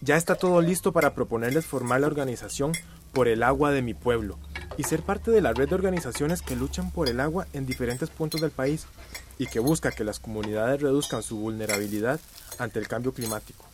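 An actor playing a hearty, earnest village activist in a radio drama. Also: male voice, mid-range voice, brisk, noisy background, natural voice. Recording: clear kitchen clatter behind.

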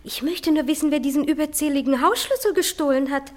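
An actress playing a chatty, self-important housemaid in a German radio play, deferential yet pert. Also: female voice, high voice, quick pace, a little mellow, natural voice. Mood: defensive.

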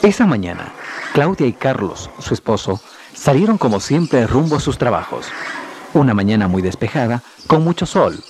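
A man narrating a scripted radio drama in a deliberate, theatrical storytelling manner. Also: medium pitch, sing-song. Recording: noisy 1990s radio drama, street sounds behind.

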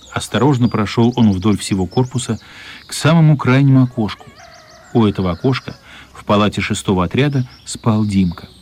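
A man with a mid-pitched, somewhat husky voice reads scripted literary narration. He serves as the storyteller of a radio drama. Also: a little mellow.

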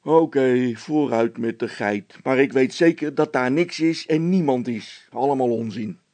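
A man voicing a gruff village constable in a children's audiobook, blustering and dismissive but secretly timid. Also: mid-range voice, measured pace, darkish tone, no echo, pitched above the performer's own voice, close to an everyday voice. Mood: blustering, uneasy.

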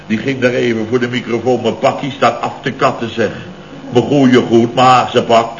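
An actor in a radio comedy playing an indignant, blustering boss of fifty who grumbles and exclaims, repeating himself. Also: male voice, medium pitch, level tone, normal speed, lightly acted. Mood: aggrieved. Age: 50s. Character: blustering, indignant fifty-year-old male building firm boss. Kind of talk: 1970s radio comedy acting. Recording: muffled, noisy old radio recording.

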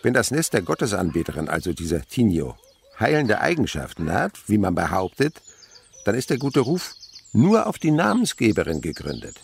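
A man's voice: on the low side, wildly swooping pitch, normal speed, vocal fry, slightly rough, full character voice. An actor playing a whimsical, sceptical insect researcher and father in a German radio play, with a pedantic, playful way of lecturing.

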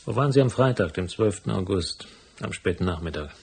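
A man playing a hard-boiled private detective in a noir radio drama, with a gravelly, husky voice and a dry, laconic delivery. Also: low-pitched, lively intonation, brisk, heavily acted. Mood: cool, probing. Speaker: first-person narrator and lead character.

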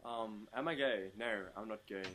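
Young German man, relaxed and hesitant. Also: on the low side, moderate pace, faint music behind. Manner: hesitant, many ums, bursts into playful loud laughter. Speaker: interview guest. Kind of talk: casual interview chat.

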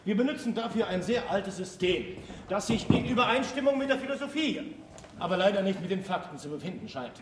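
An actor playing an earnest, courteous astronomer explaining himself in a radio drama. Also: male voice, high voice, animated, brisk. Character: earnest, eager adult male astronomer addressing a court. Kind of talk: stage-like radio drama acting. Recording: roomy, faintly noisy radio play recording.

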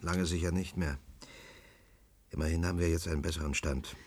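An actor playing a disillusioned, pragmatic middle-aged scientist in a radio play, cynical and resigned. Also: male voice, sits low, fairly even intonation, brisk, darkish tone, a little hiss or hum, close to an everyday voice. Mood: weary, cynical. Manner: resigned, cynical, flaring into irritation.

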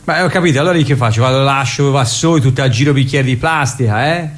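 Actor as exasperated Tuscan club barman, mid-pitched. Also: quick pace, slight background noise, natural voice. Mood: exasperated, defensive. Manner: colloquial, stammering repetitions, grumbling. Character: exasperated, conscientious male social club barman. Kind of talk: naturalistic radio theatre dialogue.

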